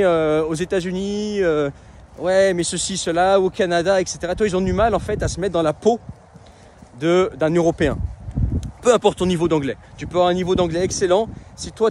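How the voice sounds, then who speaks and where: A man speaks casually to the camera in a slightly higher voice, pausing often on fillers and restarting his sentences. He is outside, with faint street and traffic noise behind him. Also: a little mellow. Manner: conversational, many fillers and restarts. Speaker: web entrepreneur and coach. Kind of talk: casual vlog monologue.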